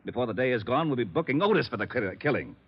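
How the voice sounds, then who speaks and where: An American actor playing an exasperated, griping police lieutenant in a 1940s radio drama. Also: male voice, medium pitch, expressive, fast talker, breathy edge. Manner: blustering, griping. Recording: muffled old radio broadcast.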